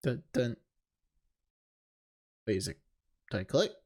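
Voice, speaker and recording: Streamer with a very lively pitch. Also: slow, a little hiss or hum. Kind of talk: visual novel read-aloud with casual asides. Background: music.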